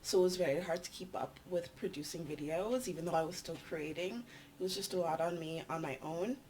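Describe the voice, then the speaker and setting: A woman's voice: on the low side, a little fast, darkish tone, a little hiss or hum, low-quality recording. A young adult woman with a nasal voice, chatting casually to her vlog audience in a meandering, filler-filled way. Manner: chatty, meandering, with frequent ums and likes. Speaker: vlogger.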